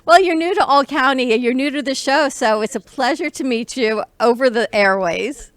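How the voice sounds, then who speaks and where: A woman's voice: high voice, moderate pace. A woman hosting a health talk show with an upbeat, polished presenter delivery. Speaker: show host.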